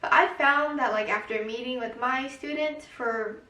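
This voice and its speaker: A young woman speaks with a high, bright-sounding pitch and loose, chatty phrasing full of "like". She sits away from the mic, and the room adds a noticeable echo. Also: moderate pace, far from the mic. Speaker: co-host, daughter. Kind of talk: casual chat.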